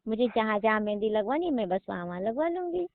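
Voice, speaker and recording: A woman's voice: a little high, sing-song, fast, gravelly, no room sound. A woman playing a flirty, coaxing customer phoning a henna artist, talking casually and persuasively. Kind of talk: casual phone-call role-play. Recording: dull, lo-fi phone-call audio.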